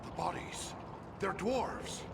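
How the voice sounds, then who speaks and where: An actor playing a grim, watchful adventurer in a fantasy video game, speaking in terse, blunt lines. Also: male voice, sits high, slow, gravelly, background noise, heavily acted.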